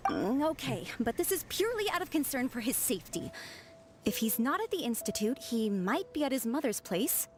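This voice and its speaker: A woman's voice: on the high side, very animated, fairly quick, background noise. A gossipy, lovesick young woman. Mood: indignant. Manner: chatty, indignant. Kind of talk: game voice acting. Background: game music.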